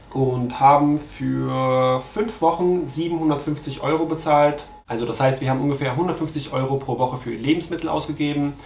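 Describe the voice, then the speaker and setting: A man in his thirties, a travel vlogger, calmly going through trip costs to a camera in a bedroom, glancing at his notes. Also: mid-range voice, reverberant, a little hiss or hum. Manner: matter-of-fact, reads figures from notes. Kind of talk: scripted cost breakdown to camera. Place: bedroom with mosquito net, indoors.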